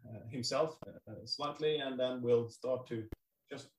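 A man's voice: mid-range voice, unhurried. A male podcast host asking questions hesitantly, with many fillers and repeated words. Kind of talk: casual interview hosting. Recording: echoey room, far from the mic.

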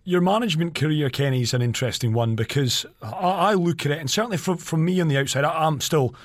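30s bloke hosting a podcast, fairly high voice, chatty questions, dry close sound. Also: male voice, fast, no room sound. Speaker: podcast host, interviewer. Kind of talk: casual conversational interviewing.

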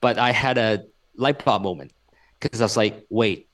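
A man's voice: lowish voice, normal speed, a bit bright. A man in his thirties from Hong Kong, an author answering interview questions in an earnest, explanatory way, often repeating words as he thinks. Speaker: author and guest.

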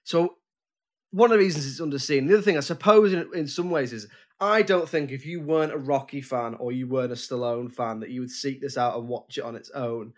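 A man's voice: mid-range voice, brisk. A man co-hosting a film podcast, explaining his pick in a loose, rambling way with frequent restarts. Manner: rambling, hesitant with many restarts. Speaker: podcast co-host. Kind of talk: casual chat.

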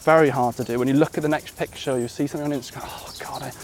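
A man in his thirties hosts a relaxed interview while walking outdoors in a park. His voice sits at an ordinary middle pitch, and he muses aloud, often closing a thought with a tag question. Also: very fast, a little hiss or hum. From West Wales. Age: 30s. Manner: reflective, asks open questions with tag questions. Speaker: podcast host.

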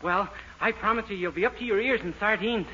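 A man's voice: sits high, brisk, close to an everyday voice. A man acting a naive, polite, earnest young man in a 1940s radio comedy. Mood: eager, innocent. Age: young adult. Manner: earnest, innocent, literal-minded. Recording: noisy, muffled 1940s radio recording.

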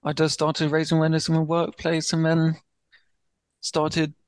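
A young man with a stammer, telling his personal story as a podcast guest and pacing his words slowly before speeding up. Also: on the high side, monotone, clean tone, dry recording. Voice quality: speaks with a stammer. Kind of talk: candid personal story in an interview.

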